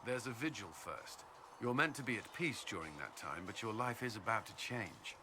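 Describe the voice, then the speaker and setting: Man acting a low-voiced, earnest fantasy commander. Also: expressive, noisy background, close to an everyday voice. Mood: reflective, sincere. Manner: hesitant, halting when flustered. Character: earnest, dutiful male military commander and former Templar. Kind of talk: fantasy video game dialogue.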